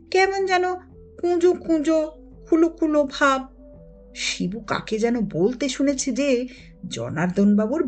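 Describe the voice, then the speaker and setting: A woman's voice: high-pitched, a little mellow. A woman narrating a children's story expressively, as an audiobook reader. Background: music.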